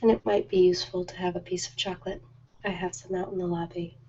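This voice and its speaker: A woman playing a gentle, nurturing spa healer in an ASMR role play, soft-spoken, soothing and unhurried. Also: fairly low pitch, little pitch movement, muffled recording, slight background noise, close to an everyday voice. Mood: calm, ritual.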